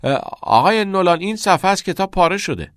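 A man's voice: sits high, expressive, no room sound, clean studio sound, pitched above the performer's own voice. A man voicing an obedient teenage schoolboy in an audiobook, speaking hesitantly as if reciting in class. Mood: hesitant, anxious. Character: obedient teenage schoolboy reporting and reading aloud. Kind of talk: audiobook character voice.

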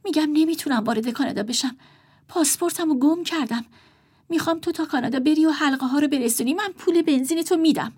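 A woman's voice: high voice, a little mellow, voice pushed up, lightly acted. A woman voicing a desperate young woman, pleading earnestly with her brother.

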